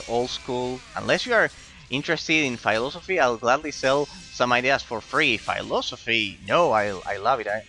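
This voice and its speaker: A man with an Argentine Spanish accent talks to his game-stream viewers in a bright, medium-pitched voice. He is effusively polite and often repeats short words and phrases as he chats. Faint music plays behind him. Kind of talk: casual live-stream chat with viewers.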